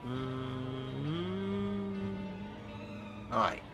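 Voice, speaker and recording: A man's voice: medium pitch, very slow, a bit bright, faint music behind. A man livestreaming a game, chatting casually with chilled, muttered asides. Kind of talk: casual gameplay chat.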